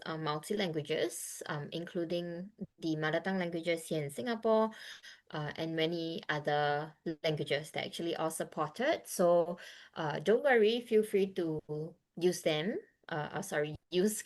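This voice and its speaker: A woman moderates an online webinar, reading out audience questions and adding short notes. Her voice sits on the low side, and she talks in a friendly, slightly hurried way with small fillers. She speaks into a video call mic.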